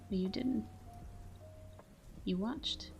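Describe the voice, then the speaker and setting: Woman playing a soft, dark-toned, half-whispering vampire lover. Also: mid-range voice, gravelly. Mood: hushed, reminiscing. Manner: slow, hushed, wistful delivery. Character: tender, devoted, melancholy immortal vampire woman lover. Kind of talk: intimate ASMR sleep-aid roleplay monologue. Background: soft background music.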